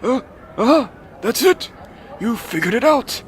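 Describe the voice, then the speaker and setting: Shrill, unhinged old dwarf. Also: male voice, lively intonation, slow, a faint crowd, exaggerated voice. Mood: frantic, excited. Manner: unhinged, stammering, desperate. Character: ranting homeless old dwarf man claiming dragonhood. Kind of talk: audio drama character acting.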